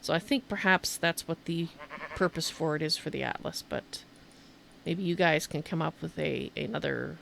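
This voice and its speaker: A woman's voice: sits low, normal speed, gravelly, a bit bright, a little hiss or hum. A woman giving a casual, chatty game tour as a streamer, thinking aloud as she shows things off. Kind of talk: casual gameplay commentary and show-and-tell.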